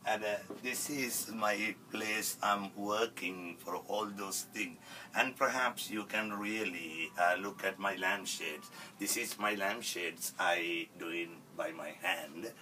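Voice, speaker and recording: A man in his forties from Sri Lanka with a Sri Lankan accent, a fashion designer introducing his sewing studio in halting English full of fillers. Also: low-pitched, pushed and bright, distant mic, a little hiss or hum, low-quality recording. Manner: halting non-native English with many fillers. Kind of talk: self-introduction video. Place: cluttered sewing studio, indoors.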